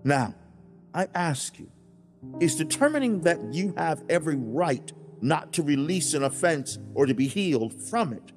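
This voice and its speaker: A man's voice: fairly high pitch, sing-song. Man voicing an emphatic, stern fatherly God over music. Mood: pleading, indignant. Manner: emphatic, deliberate, preaching with dramatic pauses. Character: God speaking as a stern, loving, earnest father. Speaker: audiobook narrator. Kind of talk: dramatized religious audiobook narration.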